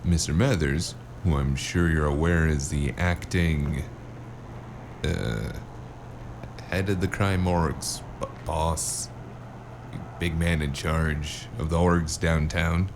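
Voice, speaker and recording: An actor playing a jaded, wry investigator with a deep, creaky voice in a noir audio drama. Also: male voice, steady tone, slow, background noise, full character voice. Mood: casual, flippant. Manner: wry, world-weary, casual. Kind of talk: noir audio drama with first-person narration.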